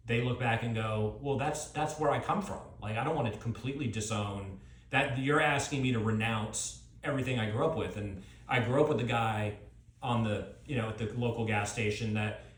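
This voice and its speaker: Middle aged guy doing author q&a, thinking out loud, rephrases a lot, mid pitch, echoey live sound. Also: normal speed. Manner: reflective, hedging, circling back to rephrase. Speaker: author speaking at a book event. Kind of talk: thoughtful extemporaneous talk and audience Q&A. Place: live book event with an audience.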